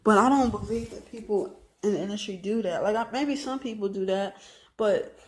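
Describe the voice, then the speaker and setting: Young woman in her twenties, vlogger and would-be rapper, blunt and profane, rambles and repeats herself for emphasis, mid-pitched voice. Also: moderate pace, darkish tone, low-quality recording. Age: 20s. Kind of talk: casual monologue to camera.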